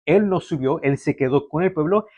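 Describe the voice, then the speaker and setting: Young male pastor, Canadian-born, casual sermon delivery, slightly high voice, dry close sound. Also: normal speed, a little mellow, no room sound. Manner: conversational, with frequent fillers and restarts. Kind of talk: sermon to a livestream audience.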